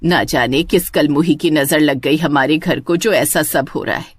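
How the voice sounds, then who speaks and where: A woman's voice: low-pitched, moderate pace, husky, dry recording, voice pushed down. A woman voicing a weary, ailing old mother who speaks plaintively and sounds hurt, in a family story. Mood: lamenting. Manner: plaintive, lamenting.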